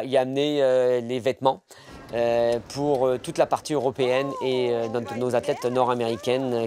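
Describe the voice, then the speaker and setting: A man in his sixties, a team manager giving a documentary interview, pausing often with fillers. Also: medium pitch, a little mellow, a little hiss or hum. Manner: hesitant, many fillers. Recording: interview under a music bed.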